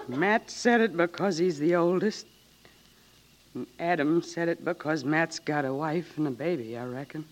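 An actress playing an anguished, humble rural American mother in a 1940s radio drama, halting and plain-spoken as she pleads. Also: low voice, slight background noise, natural voice. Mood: quiet anguish.

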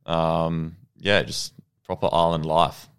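A young Australian man in his twenties, a guest telling his story in a relaxed, rambling way with lots of ums and restarts. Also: low-pitched, takes it slowly, smooth. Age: 20s. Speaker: podcast guest, goalkeeper. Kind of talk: casual interview storytelling.

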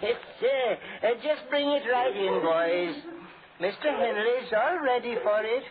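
An actor playing an eerie, obliging coffin salesman with brisk, businesslike patter in 1940s radio style. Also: very high voice, expressive, crowd noise. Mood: eerily cheerful. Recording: thin, tinny 1940s radio broadcast.

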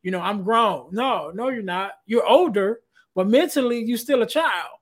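A man's voice: high voice, animated, normal speed. A man hosting a podcast who talks about life lessons, full of "you know" fillers and a slightly preachy tone. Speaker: podcast host. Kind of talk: casual interview chat.

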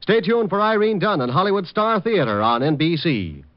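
A man's voice: high-pitched, very animated, normal speed. A man reading the closing credits and network promotions as a 1950s radio announcer. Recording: dull old radio broadcast with music.

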